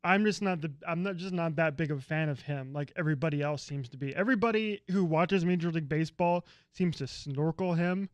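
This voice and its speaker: Opinionated high-voiced American man. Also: brisk, few pauses, dry recording. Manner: opinionated, rambling, dry grumbling about rivals. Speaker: co-host. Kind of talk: casual sports talk podcast.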